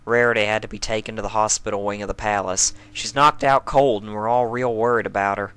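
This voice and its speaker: A man's voice: lowish voice, fairly even intonation, fairly quick, fairly bright tone, clear, resonant tone, no room sound, pitched above the performer's own voice, natural voice. A man voicing a plain-spoken, concerned female cartoon country pony, direct and earnest, in a story reading. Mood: urgent, worried.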